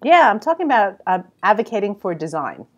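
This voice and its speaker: A woman's voice: medium pitch, big pitch swings, fairly quick, highs cut off. A woman with a New York accent, a creative business consultant speaking as a podcast guest in a direct, emphatic way. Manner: straight-talking, emphatic. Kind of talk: interview conversation.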